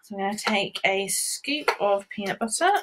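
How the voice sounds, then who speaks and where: Woman calmly walking kids thru a recipe, hissy noisy audio. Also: medium pitch, moderate pace. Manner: calm, step-by-step explaining. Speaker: children's show co-host. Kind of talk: explaining steps in a kids' cooking demo.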